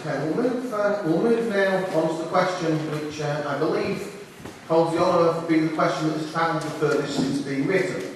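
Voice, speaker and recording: A man's voice: a little high, fairly quick, very noisy. A man chairing a public hustings, reading out questions steadily with frequent uhs. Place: public meeting hall. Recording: echoey hall from far off.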